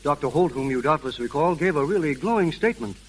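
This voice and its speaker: Man playing a fussy formal official, rattles along quick, wordy and polite, crackly old radio drama. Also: a little high, animated, natural voice. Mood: effusive, formal. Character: brisk, officious, overly polite foundation representative, adult man. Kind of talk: 1930s American radio drama acting. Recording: hissy, crackling 1930s radio recording.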